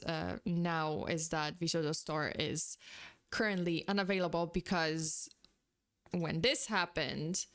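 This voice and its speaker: Woman, Polish accent, smooth clear voice, loose rambling commentary style. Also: lowish voice, a bit bright. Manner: rambling, laughs often, many fillers. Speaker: VTuber commentator. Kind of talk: casual commentary.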